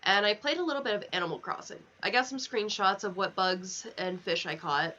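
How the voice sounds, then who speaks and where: A woman's voice: medium pitch, brisk, bright, forceful tone. A woman livestreaming a video game, chatting informally and in a rambling, affectionate way into a headset mic. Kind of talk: casual livestream chat over gameplay. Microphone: sensitive headset mic. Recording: dull, lo-fi headset audio.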